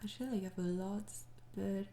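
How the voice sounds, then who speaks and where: A woman's voice: mid-range voice, brisk, roomy sound. A young woman with a French accent answering fan questions in a hesitant, apologetic way, tired and slipping into whispers. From France. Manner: hesitant, apologetic, frequent soft laughs, slips into whispers. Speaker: ASMR audio creator answering fan questions. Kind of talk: soft-spoken casual Q&A.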